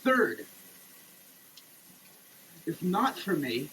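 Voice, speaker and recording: A man giving a dramatic, preachy reading with emphatic pauses, like a sermon. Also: fairly high pitch, wildly swooping pitch, sharp tone, a little hiss or hum, rough recording. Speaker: sketch performer. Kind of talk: dramatic reading of a soap label, declaimed like a sermon. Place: shower, per the page.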